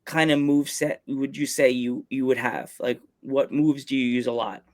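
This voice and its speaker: A man's voice: medium pitch, fairly even intonation, moderate pace. A man hosting a wrestling podcast interview, enthusiastic and often restarting his questions. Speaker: podcast host. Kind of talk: casual interview.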